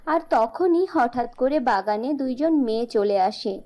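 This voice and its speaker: A woman's voice: a little high, animated, unhurried, a little mellow. A woman narrating a scripted fairy tale for a children's cartoon. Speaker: storyteller, narrator.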